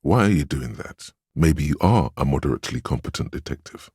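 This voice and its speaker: A very deep, husky, steadfast inner voice. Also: male voice, no echo, clean studio sound, full character voice. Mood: firm, warning. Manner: measured, calmly commanding, dryly reassuring. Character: inner voice of a detective's willpower, steadfast, protective, wry. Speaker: video game voice actor. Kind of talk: scripted game dialogue, second-person inner monologue.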